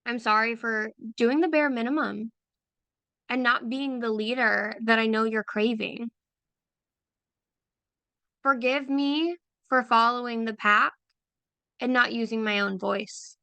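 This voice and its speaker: Slow, soft-spoken woman guiding a meditation. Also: a little high, clear, resonant tone, dry recording. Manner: soft, unhurried, affirming, repeating phrases. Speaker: meditation guide for creative business owners. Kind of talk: slow guided visualization.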